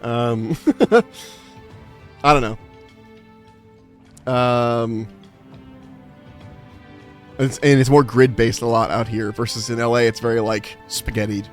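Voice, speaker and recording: A man's voice: mid-range voice, little pitch movement, slow pace, pauses often, soft background music. A man from California chatting casually on a live stream, reading chat aloud and laughing often. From Inland Empire, California, USA.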